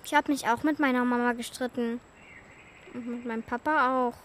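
A woman's voice: on the high side, steady tone, normal speed, a bit bright, slight background noise, natural voice. A female performer in a children's radio play playing a determined, impatient young girl who speaks in a direct, childlike way. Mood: subdued.

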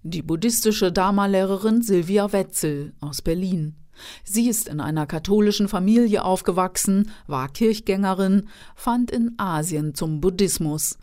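A woman, a radio reporter, reading a scripted feature narration in an even, informative way. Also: mid-range voice, measured pace.

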